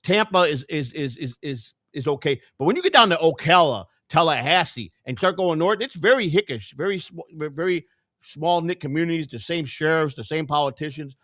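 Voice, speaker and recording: A man with a Chicago accent chats on a livestream, circling back and repeating himself as he goes. His voice sits a little high for a man and sounds dry and close, with no room echo. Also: animated, brisk, a bit bright. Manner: rambling, repeats words and restarts often. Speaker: co-host. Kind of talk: casual livestream chat.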